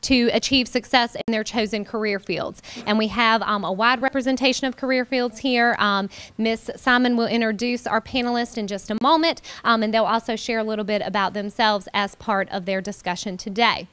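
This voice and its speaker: A woman's voice: fairly high pitch, fairly even intonation, fairly quick, a bit bright, smooth. A woman opening a college panel, projecting her teacher voice to the room with frequent ums. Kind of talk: event welcome speech. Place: warm college room with an audience.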